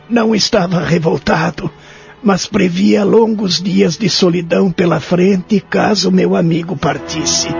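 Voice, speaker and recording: A man's voice: on the high side, creaky. A man playing a lonely, tearful old man in an audio drama, speaking with a Brazilian accent in an earnest, emotional way. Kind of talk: audio drama acting and first-person narration. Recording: dull lo-fi audio under music.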